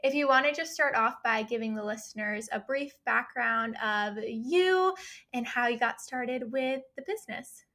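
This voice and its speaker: A woman's voice: on the high side, normal speed, pushed and bright. A young adult woman hosting a podcast interview, upbeat and chatty, with lots of "like" and "kind of" fillers. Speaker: podcast host. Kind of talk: casual interview chat.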